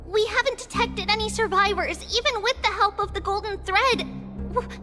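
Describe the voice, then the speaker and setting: A woman's voice: very high-pitched, normal speed, long reverb tail, over music. A woman voicing a tiny, sweet, earnest little girl in a video game, with a childish, wide-eyed, hesitant delivery. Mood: anxious, hesitant. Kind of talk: cute video game character acting.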